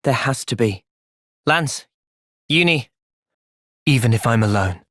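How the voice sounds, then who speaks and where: An earnest young soldier from a video game, speaks with an English accent at a middling pitch. He is thoughtful and sincere, gentle yet resolute, and the sound is dry and studio-clean. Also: lively intonation, unhurried, no room sound. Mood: calm, earnest. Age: young adult. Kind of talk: video game cutscene acting.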